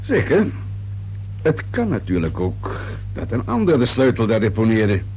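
A persistent male detective in a radio play. He questions with dry, sardonic calm, yet his pitch moves widely to press a point. The recording has a faint hiss and hum. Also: moderate pace. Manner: probing, sardonic, unruffled.

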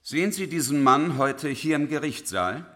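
An actor playing a formal, courteous prosecutor addressing a courtroom in a radio crime drama. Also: male voice, a little high, expressive, normal speed, pushed and bright.

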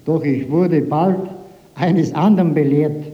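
Bavarian male farmer, fairly high voice, telling his story, dull reverberant archive recording. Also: measured pace. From Munich, Bavaria, Germany. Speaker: farmer interviewee. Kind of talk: recounting his experience in an interview.

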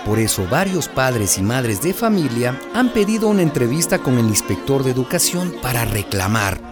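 A woman's voice: very deep voice, sing-song, normal speed, loud music behind the voice. A woman playing an earnest, outspoken parent in a radio drama, with a conversational manner. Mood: concerned. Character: concerned, outspoken parent narrating neighborhood troubles. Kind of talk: radio drama acting and narration.

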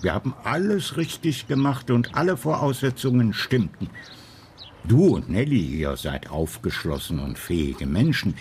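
Ein Schauspieler spricht in einem Hörspiel einen gebrechlichen, selbstsicheren alten Polizeichef im Ruhestand, der bedächtig argumentiert. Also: male voice, medium pitch, very animated, moderate pace, a little mellow, a little hiss or hum, lightly acted. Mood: self-assured, reflective. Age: older. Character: frail, self-assured old retired Dutch police chief. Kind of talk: radio play acting.

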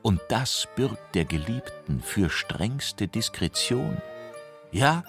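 Sly pious hypocrite, low voice, swooping melody, verse radio play, music behind. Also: male voice, lively intonation, takes it slowly. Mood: unctuous, seductive. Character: sly hypocritical male seducer, smoothly whispering of discreet love. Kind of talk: classical verse radio drama.

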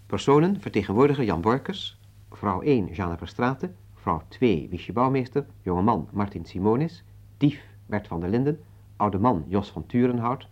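A man announces for radio in a formal, scripted style. His voice is fairly low as he reads out the credits in an orderly way. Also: lively intonation, moderate pace, lo-fi sound.

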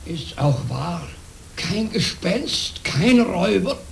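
A man's voice: sits high, sing-song, slow, creaky, exaggerated voice. An actor playing a sly, greedy old peasant father in a radio play, grumbling and teasing in an exclamatory way. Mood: wary. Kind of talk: German radio play acting. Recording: roomy, faintly noisy radio drama recording.

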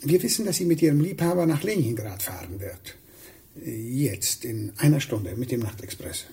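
An actor playing a ruthless, calculating KGB officer reporting to his superior in a German radio drama, with a husky voice. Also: medium pitch, animated, moderate pace. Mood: cold, menacing.

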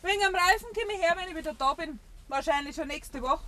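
A woman's voice: very high-pitched, fast, pushed and bright, a little hiss or hum. An actress playing a shrewd, guarded young Bavarian woman in a dialect radio play, speaking pointedly with small hesitations.

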